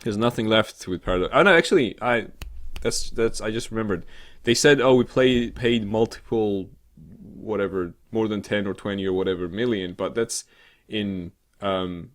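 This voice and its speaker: A man co-hosting a casual gaming talk show, rambling and halting, with an irreverent, sarcastic, sweary way of talking. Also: on the low side. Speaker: co-host of a gaming news show. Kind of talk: casual chat about games news.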